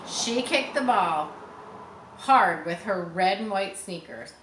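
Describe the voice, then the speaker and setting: A woman reading a children's book aloud expressively, with casual explanatory asides to young listeners. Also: medium pitch. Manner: expressive, acts out dialogue and pauses to explain. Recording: roomy echo, distant mic, faint noise.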